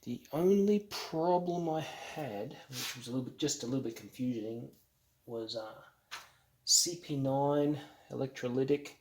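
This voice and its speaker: A man giving casual, unscripted how-to commentary as a hobbyist at work on a build, halting and thinking aloud as he goes. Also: medium pitch, darkish tone, low-quality recording. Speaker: hobbyist narrating an electronics kit build.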